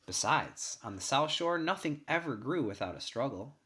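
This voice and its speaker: A man reads a short story aloud in the first person. His pitch sits in the middle range, and the delivery is scripted storytelling narration. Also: big pitch swings, moderate pace, sharp tone. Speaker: story reader.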